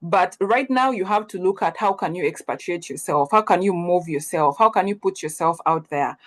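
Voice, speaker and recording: A woman's voice: lowish voice, lively intonation, normal speed. A young woman from Uganda, giving an earnest webinar presentation full of ums and self-corrections.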